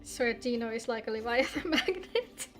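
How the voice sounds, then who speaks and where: A woman's voice: on the high side, animated, normal speed, faint music behind. A woman streaming a video game, chatting casually and playfully with her viewers, informal and free with swearing. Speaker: live streamer playing an online game. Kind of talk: casual gaming livestream chat.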